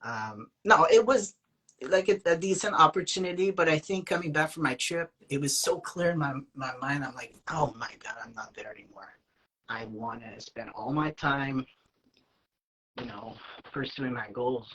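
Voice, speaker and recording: Brief: man with a French Canadian accent, bright and a little husky, casual anecdote-teller. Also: mid-range voice, moderate pace, low-quality recording. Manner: animated, acts out quoted lines, many fillers. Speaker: guest, aspiring actor. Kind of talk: casual storytelling.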